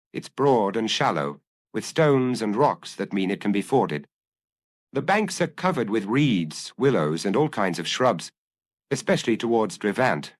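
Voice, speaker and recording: A man's voice: lowish voice, no echo. A man voicing a troubled young Frenchman in an audiobook, melancholy and hesitant as he explains himself earnestly. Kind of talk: dramatised audiobook dialogue.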